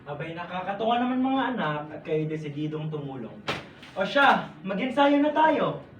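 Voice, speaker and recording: A woman's voice: fairly low pitch, big pitch swings, moderate pace, recorded from a distance, highs cut off, a little hiss or hum. Woman playing hearty father, echoey stage. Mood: proud, hearty. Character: cheerful proud father of a close family. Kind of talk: stage play acting. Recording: echoey stage from afar, music behind.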